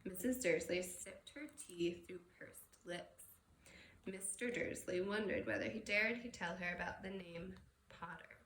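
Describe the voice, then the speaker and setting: A woman giving an expressive read-aloud narration of a children's novel. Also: on the low side, measured pace. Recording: roomy echo with faint background noise.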